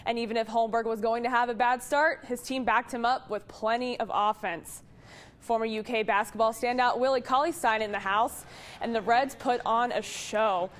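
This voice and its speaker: Higher-pitched woman sports anchor. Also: steady tone, moderate pace. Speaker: television sports reporter. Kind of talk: scripted sports news narration.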